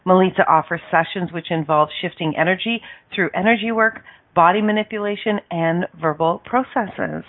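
A woman's voice: lowish voice, normal speed, few pauses. A woman hosting a casual interview, effusive and gushing, who laughs often. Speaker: podcast host. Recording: tinny phone line.

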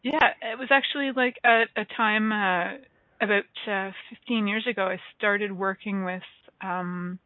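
A woman in her forties, a guest reader, telling stories in a playful, laughing, animated way. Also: mid-range voice, a little slow, no echo. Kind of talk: casual storytelling chat. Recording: dry tinny phone line.

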